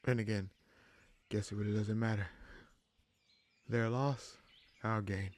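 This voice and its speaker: Casting: male actor for wounded human bandit leader, lower register, gruff yet kind, halting and reflective, intimate fantasy roleplay addressed to the listener. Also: steady tone, no room sound, close to an everyday voice. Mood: thoughtful, suspicious. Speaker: audio roleplay performer.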